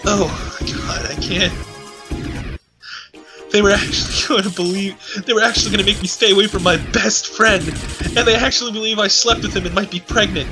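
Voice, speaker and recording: A man streaming a read-aloud of amateur fiction in an amused, mockingly dramatic style with sarcastic asides. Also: sits high. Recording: music playing behind the voice. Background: music.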